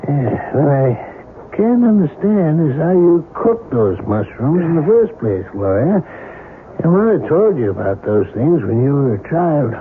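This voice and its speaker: Paternal radio-drama doctor, tinny. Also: male voice, on the high side, sing-song, measured pace. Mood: gently scolding. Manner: matter-of-fact, paternal. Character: paternal family doctor, firm, gently scolding. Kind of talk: 1970s radio drama acting. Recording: thin, phone-like, noisy old radio recording.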